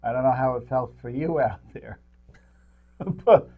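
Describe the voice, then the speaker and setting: An older man with a mid-pitched voice co-hosts a casual film-review show. He talks in a loose, rambling way, and the recording is slightly dull and lo-fi. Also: measured pace. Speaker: co-host. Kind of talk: casual movie-review chat.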